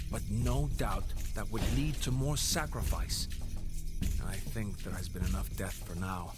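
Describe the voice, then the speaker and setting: A man playing a proud, solemn Aztec emperor, recounting his people's war in measured, grave game narration. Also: mid-range voice, close to an everyday voice. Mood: weary, mournful. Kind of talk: dramatic scripted game cutscene narration. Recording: background music under the voice.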